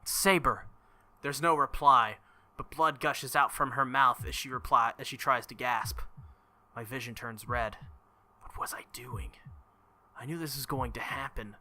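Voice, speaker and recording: A man's voice: mid-range voice, fairly even intonation, measured pace, sharp tone. A man hosting a let's play, reading visual novel text aloud in a dramatic narrating style. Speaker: let's play video host.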